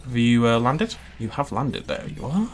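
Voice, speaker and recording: A man's voice: mid-range voice, sing-song, normal speed, heavy background noise. A man giving casual, chatty commentary while building a park in a video game, laughing often and making playful asides. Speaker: game channel host.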